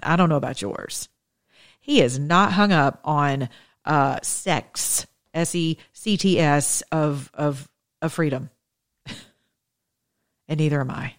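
Low-voiced woman preaching with rising fervor. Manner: conversational, joking, rising to fervent preaching. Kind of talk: impassioned sermon.

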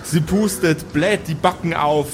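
Male storyteller for tabletop role-play, slightly high-pitched, deliberate scene-setting, light background noise. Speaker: game master. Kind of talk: narrating an improvised tabletop audio play.

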